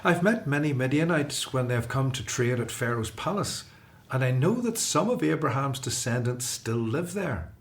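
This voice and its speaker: An actor playing an earnest, resolute fugitive prince of ancient Egypt in a radio drama. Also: male voice, mid-range voice, expressive, normal speed, close to an everyday voice. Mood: earnest, determined.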